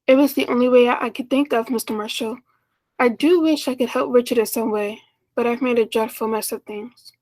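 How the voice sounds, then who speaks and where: Woman playing a lonely schoolteacher in her thirties, high voice, earnest, tender, polite, old-time radio play. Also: fairly even intonation, brisk, darkish tone, natural voice, rough recording. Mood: apologetic, confessing. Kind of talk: 1940s radio play acting.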